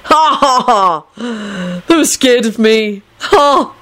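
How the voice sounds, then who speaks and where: A woman voicing an excitable, cheeky and lovestruck young man in a story reading, impulsive and gushing. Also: high voice, fairly quick, heavily acted. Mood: gleeful, rude.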